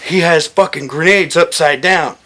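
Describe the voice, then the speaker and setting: Bright-voiced man telling profane war stories. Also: on the high side, normal speed. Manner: animated, swearing freely, acting out quoted dialogue. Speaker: military veteran storyteller. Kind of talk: casual storytelling, profane.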